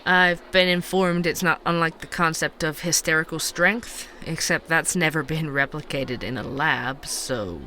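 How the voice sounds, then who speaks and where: A man's voice: on the high side, a little slow, few pauses, bright, forceful tone, a little hiss or hum. A man playing a candid, wry investigative podcast host in an audio drama, speaking conversationally. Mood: curious, hesitant. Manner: conversational, wry, hesitant asides. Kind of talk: fictional podcast narration and dialogue.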